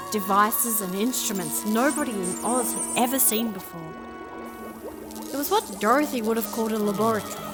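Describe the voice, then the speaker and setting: A woman's voice: a little high, close to an everyday voice. A woman playing an earnest young fairy princess who narrates and acts in a fantasy audio drama. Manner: earnest, reflective storytelling. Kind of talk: first-person audio drama narration and acting.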